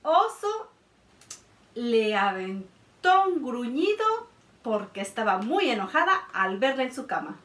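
A woman in her thirties reading a picture book aloud to children, expressive and sing-song. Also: fairly high pitch, slow. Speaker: children's librarian storyteller. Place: indoor room by a felt board. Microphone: camera a few feet away. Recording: roomy sound from a distance.

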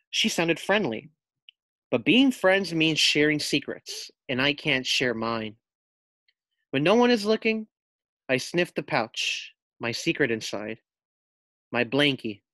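A man's voice: medium pitch, fairly bright tone, no room sound. A man reading a children's picture book aloud as a teacher, expressively, with simple asides to young listeners.

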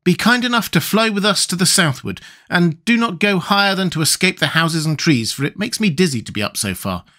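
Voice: mid-range voice, expressive, brisk, few pauses, bright, forceful tone, voice pushed up. A reader voicing a plain-spoken, practical storybook boy with a blunt manner. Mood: brisk.

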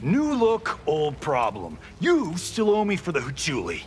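An actor playing a cocky, wisecracking action-star fighter in a video game, with flippant, slangy teasing. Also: male voice, a little high, huge pitch swings, a little slow. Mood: mocking. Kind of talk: video game character dialogue. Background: game music.

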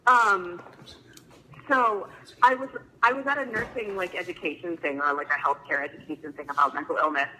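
Nervous, piercingly bright-voiced woman calling in. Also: fairly low pitch, normal speed, slight background noise, low-quality recording. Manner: nervous, gushing, filler-heavy "like". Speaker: fan calling in, emergency room nurse. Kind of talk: casual chat, personal storytelling.

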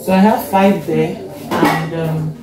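A young Nigerian woman with a Nigerian accent, casually showing her grocery haul, taking her time, checking a receipt and often pausing with fillers. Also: low-pitched, steady tone, noisy background. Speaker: vlogger. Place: home kitchen, indoors. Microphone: fixed camera in front. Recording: echoey kitchen, faint music.

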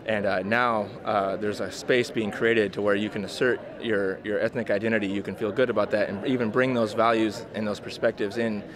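Young man interviewee, lowish voice, quick talk, crowd chatter behind. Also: steady tone. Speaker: PhD candidate interviewee. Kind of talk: interview answers. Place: busy convention hall.

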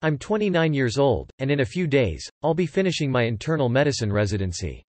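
A male narrator reads English vocabulary and example sentences for learners. His pitch moves around a lot as he repeats each line steadily. The sound is dry, with no room around it. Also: sing-song, a little fast, darkish tone, no room sound. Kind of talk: reading example sentences and definitions aloud.

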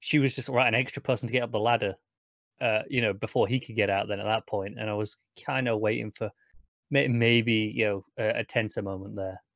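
A young man talking casually and haltingly as co-host of a review podcast. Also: on the low side, steady tone, a bit bright, dry recording, clean studio sound. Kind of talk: casual chat, TV episode review. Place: indoors at home, online video call.

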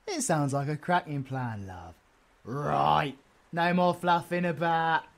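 An actor playing a cheeky, foul-mouthed British supervillain rogue in an audio roleplay, slangy and excitable in banter. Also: medium pitch, slow, gravelly. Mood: playful, bawdy.

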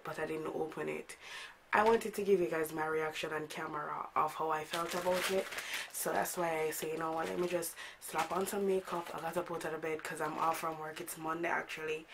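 A woman in her thirties with a hoarse voice from a cold, chatting casually to camera in her bedroom about a wig she ordered. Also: sits low, noisy background. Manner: chatty, animated, gestures a lot with her hands. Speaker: beauty vlogger. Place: bedroom at home, indoors.

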